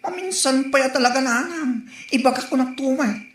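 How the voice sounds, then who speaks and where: A woman plays a brash, defensive Ilocano character with a fairly high voice. It is comedy-drama acting in an echoey room with faint noise. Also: normal speed, lightly acted. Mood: defiant. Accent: Filipino, Ilocano.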